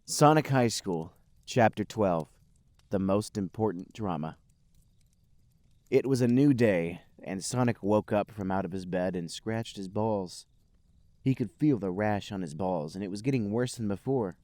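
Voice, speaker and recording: A man's voice: lowish voice, unhurried, mellow, no room sound. A man hosting a comedy podcast, reading fan fiction aloud with mock-dramatic storytelling and casual chat.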